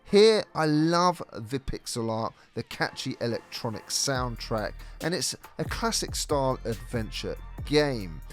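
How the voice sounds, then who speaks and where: A man's voice: medium pitch, expressive, a little slow, hardly any pauses, faint music behind. A man presenting a scripted video game review as narrator.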